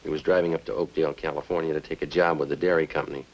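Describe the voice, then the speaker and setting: Very deep, terse police sergeant. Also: male voice, little pitch movement, rapid-fire, highs cut off. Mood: matter-of-fact. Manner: clipped, matter-of-fact, understated. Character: terse, methodical male police sergeant interrogating a suspect. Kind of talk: 1950s radio crime drama acting. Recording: noisy old-time radio recording.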